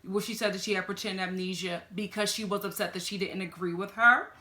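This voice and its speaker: A young woman with a fairly deep voice giving an animated, sassy reaction review, talking straight at the people she watches. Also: fairly quick, roomy sound. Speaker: reality TV reviewer. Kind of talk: casual reaction commentary.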